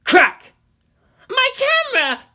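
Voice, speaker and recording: A man voicing an excitable, nerdy, scheming teenage schoolboy with an eager, whiny manner. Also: very high-pitched, takes it slowly, pitched above the performer's own voice, exaggerated voice. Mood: wailing.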